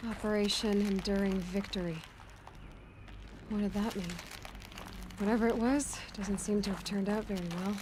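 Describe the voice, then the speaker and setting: A woman's voice: medium pitch, fairly even intonation, moderate pace, pauses often, noisy background, close to an everyday voice. An actress voicing a brave, self-reliant young female warrior in a video game, dry and musing in manner. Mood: watchful, musing. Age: young adult. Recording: loud music over the voice.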